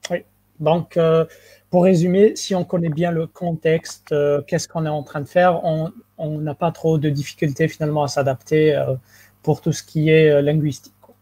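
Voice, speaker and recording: Mellow-voiced interviewer, dry recording. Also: mid-range voice, little pitch movement, moderate pace, no echo. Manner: hesitant, many fillers, polite. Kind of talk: casual interview questions.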